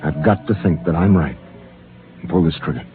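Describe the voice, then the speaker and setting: An actor playing a stern but torn ship captain with a firm, controlled delivery, in a 1950s radio drama. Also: male voice, extremely low pitch, brisk. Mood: tense. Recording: tinny 1950s radio transcription with music.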